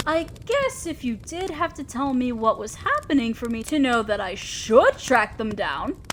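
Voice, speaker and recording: A woman playing a commanding, teasing but protective demon lord in a scripted audio roleplay, speaking to the listener in an imperious, theatrical way. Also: a little high. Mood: brisk, authoritative. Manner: imperious, theatrical, mock-scolding. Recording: faint ambient noise, crackling fire.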